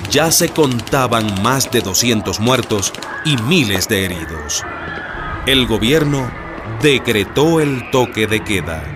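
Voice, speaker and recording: A man's voice: mid-range voice, expressive, slow. A man narrating a historical radio drama from a script. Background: music.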